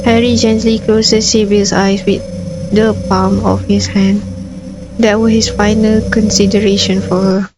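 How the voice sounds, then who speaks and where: A woman's voice: mid-range voice, darkish tone, low-quality recording. A woman doing gameplay commentary, switching between casual reactions and reading story text aloud, with game music behind her. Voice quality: frequent throat clearing. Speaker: gameplay streamer. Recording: background music under voice.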